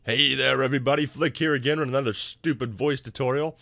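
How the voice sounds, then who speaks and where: A man hosting a software tutorial in an informal, self-deprecating, explanatory way, recorded in a home office. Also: mid-range voice, steady tone, fast, vocal fry, dry recording. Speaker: tutorial host.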